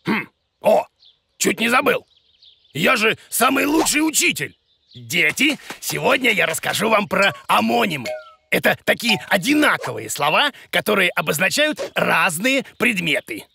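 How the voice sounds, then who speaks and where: Cartoon creature: pompous, clueless teacher, high and creaky, theatrical exclamations. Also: male voice, very animated, moderate pace, pushed and bright, slight background noise, heavily acted. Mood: self-important. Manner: self-important, exclamatory, theatrical. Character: blustering, boastful, clueless bearded creature teacher. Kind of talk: animated children's cartoon voice acting.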